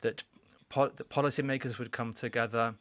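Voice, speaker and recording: A man giving a formal welcoming speech at a conference, measured and deliberate, with pauses and restarts. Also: medium pitch, fairly even intonation, a bit bright, no echo. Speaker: conference speaker. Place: conference hall.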